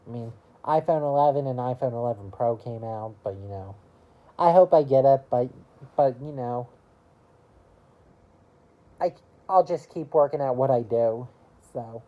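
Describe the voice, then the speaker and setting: Slow, low-voiced young woman rambling. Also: dull audio. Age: early 20s. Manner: rambling, halting, leans on "you know" fillers. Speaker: vlogger. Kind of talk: casual year-review monologue to camera.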